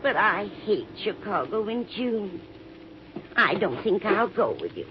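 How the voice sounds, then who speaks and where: A woman's voice: medium pitch, very animated, a little slow. An actress playing a sweet-seeming, scheming old lady in a fluttery, coy manner for a 1950s radio drama. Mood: petulant. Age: older. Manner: coy, fluttery, feigned innocence. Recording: muffled vintage radio recording with hiss.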